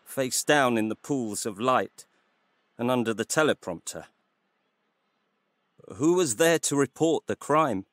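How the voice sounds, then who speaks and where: A man narrating a literary story in a measured, scripted way. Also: medium pitch, dry recording, clean studio sound.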